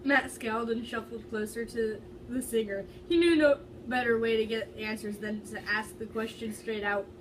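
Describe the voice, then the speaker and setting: A young woman with a fairly high voice reads a story aloud in a dramatic, informal amateur way. She trips over words now and then. The recording is distant, with clear background noise. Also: fairly even intonation, moderate pace, few pauses. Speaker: reader of fan fiction.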